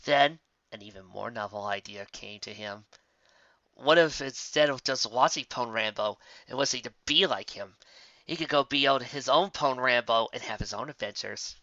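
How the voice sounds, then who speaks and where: A narrator reading a story aloud in an expressive, animated way, with casual asides. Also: mid-range voice, normal speed, dry recording, muffled recording.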